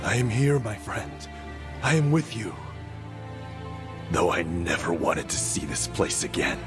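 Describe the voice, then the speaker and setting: A man's voice: deep voice, very animated, unhurried. A man playing a remorseful, loyal warrior in a dramatic game story, earnest and solemn, over music. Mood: solemn, reassuring. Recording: loud music underneath.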